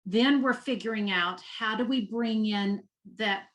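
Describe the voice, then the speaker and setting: A woman's voice: on the high side, a little slow, fairly bright tone. Passionate Texan woman. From Texas. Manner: passionate, emphatic, repeats for emphasis. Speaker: guest, nonprofit education executive. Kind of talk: interview.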